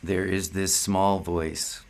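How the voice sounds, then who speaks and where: Very slow, deep-voiced male spiritual teacher. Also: little pitch movement, gravelly. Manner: measured, deliberate, short phrases with long pauses. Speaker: spiritual teacher leading satsang. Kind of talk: slow meditative spiritual talk.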